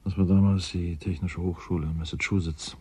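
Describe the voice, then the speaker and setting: A man's voice: low voice, level tone, a little fast, a little mellow, slightly rough. An actor playing a wry, courteous male scientist in a radio drama, absorbed in his own theories and speaking thoughtfully. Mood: musing. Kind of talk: German radio drama acting, naturalistic dialogue.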